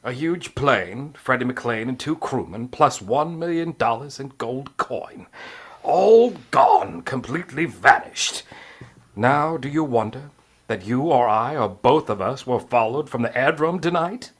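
An actor playing a cagey, formal police commissioner in an old radio crime drama, with measured, clipped delivery. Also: male voice, mid-range voice, animated, a bit bright. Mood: grave, confiding. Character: urbane, cagey British colonial police commissioner.

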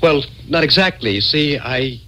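An actor playing an earnest, bewildered man telling his uncanny adventure in an old-time radio drama, dramatic and halting when nervous. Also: medium pitch, animated, pushed and bright. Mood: nervous, hesitant. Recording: old-time radio recording with music behind.

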